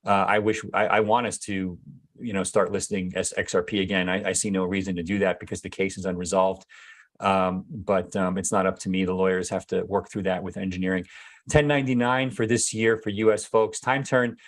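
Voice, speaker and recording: A man with a lowish voice, his throat going hoarse after a long week of talking. He answers viewer questions in a loose live session, stopping to fill with "uh" and "um" and restarting his sentences. He sounds like an executive chatting with his users. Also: flat delivery, fairly quick. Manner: hesitant, many fillers and restarts. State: losing his voice. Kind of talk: casual live Q&A.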